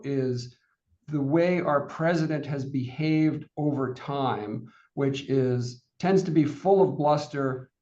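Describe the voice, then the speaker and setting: A man's voice: mid-range voice, a little mellow. A man speaking conversationally as a financial panelist, addressing clients on a conference call. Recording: slightly dull conference call audio.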